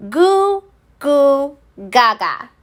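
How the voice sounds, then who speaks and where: A woman's voice: high voice, very animated, drawn-out words, voice pushed up, full character voice. A woman voicing a sweet, helpless baby boy in babbling baby talk for a storybook.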